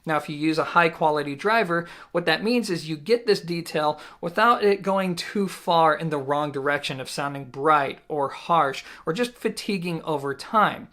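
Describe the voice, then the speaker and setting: A man in his thirties giving a conversational product review to camera, explaining things in a measured way with emphatic pauses. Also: on the high side, a bit bright. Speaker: audio gear reviewer and host. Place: small indoor home listening room.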